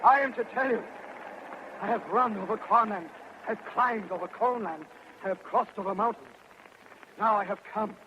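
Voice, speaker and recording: A man plays a weary messenger in a vintage radio verse play, bringing dire warning. The voice is very high for a male, urgent and declamatory, falling into a chant. Faint hiss sits on the old recording. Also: normal speed, long pauses, muffled recording, lightly acted. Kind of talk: 1930s-style verse radio drama acting.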